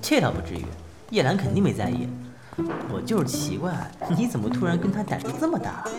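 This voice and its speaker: Chatty young sidekick assistant, curious and incredulous, low female voice with animated intonation, music behind. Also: fairly quick. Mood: curious, amazed. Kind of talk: modern Chinese audio drama acting.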